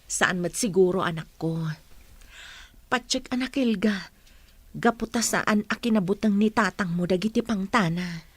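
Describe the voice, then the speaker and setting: A woman playing a firm, caring mother in a radio drama, expressive in her delivery. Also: medium pitch, normal speed, breathy edge, no echo, natural voice. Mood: gentle, reassuring. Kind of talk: Ilocano radio drama acting.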